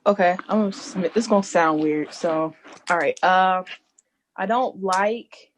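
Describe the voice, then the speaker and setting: A woman's voice: fairly low pitch, a little hiss or hum. A young woman with a stuffy cold, chatting casually on a podcast and filling her talk with "like" and "you know.". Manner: talks slightly oddly with retainers in; halting, full of "like, you know".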